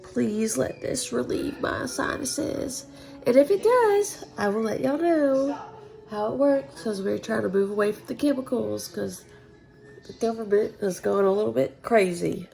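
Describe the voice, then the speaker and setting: A woman's voice: fairly high pitch, measured pace, creaky, a little mellow, soft background music, poor audio. A woman with a Southern US accent, congested and nasal from sinus trouble, chatting bluntly in her kitchen with swearing and dry humor. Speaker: homesteading vlogger. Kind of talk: casual chat to camera. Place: home kitchen.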